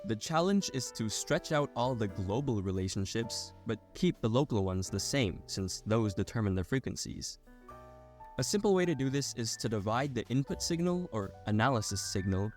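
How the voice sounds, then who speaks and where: A man's voice: on the low side, darkish tone. Man narrating an explainer over music. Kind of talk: scripted technical explainer narration.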